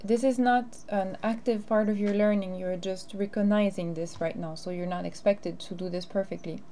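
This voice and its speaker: A woman's voice: mid-range voice, steady tone, moderate pace, warm tone, slight background noise. A teacher, a woman, explaining grammar and carefully modeling phrases in a recorded lesson. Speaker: French teacher.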